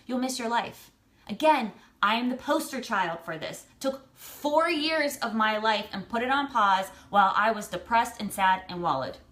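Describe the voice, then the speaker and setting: Higher-voiced American woman giving emphatic advice. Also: fairly bright tone. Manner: emphatic, blunt, repeats phrases for stress. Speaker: vlogger giving personal advice. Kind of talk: motivational advice talk to camera.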